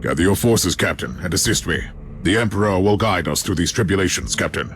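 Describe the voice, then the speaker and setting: Very deep, stern imperial inquisitor. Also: male voice, expressive, fairly bright tone, a little hiss or hum. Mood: commanding. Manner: formal, measured, authoritative. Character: stern, commanding male imperial inquisitor, cold and resolute. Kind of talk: dramatic video game voice acting.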